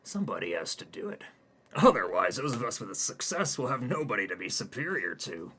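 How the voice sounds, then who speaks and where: A man voicing a condescending male doctor who sneers and belittles his patient loudly. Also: fairly low pitch, big pitch swings, a little fast, husky, voice pushed up, exaggerated voice. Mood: mocking.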